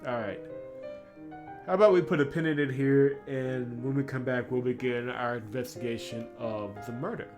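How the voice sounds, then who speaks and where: A man's voice: mid-range voice, moderate pace, over music. A man giving casual commentary as he plays through a game as host. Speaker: gaming video host. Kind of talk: casual game commentary and read-aloud of visual novel dialogue.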